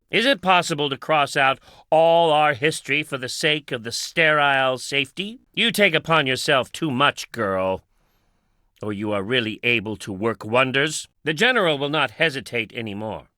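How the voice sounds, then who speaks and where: An actor playing a grave, brooding middle-aged patriarch in a video game, speaking solemnly in formal, stilted phrases. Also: male voice, medium pitch, sharp tone, no room sound, clean studio sound. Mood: grave, ominous. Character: grave, brooding town patriarch guarding his wife's memory. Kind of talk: dramatic video game voice acting.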